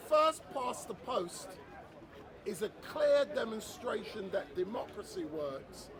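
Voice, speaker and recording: High-pitched man steering a street debate. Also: sing-song, takes it slowly. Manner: insistent, interrupting to steer the talk. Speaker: debate moderator and interviewer at a street discussion. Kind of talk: heated casual street political debate. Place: outdoors among a crowd in an urban public space. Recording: busy outdoor crowd, overlapping voices.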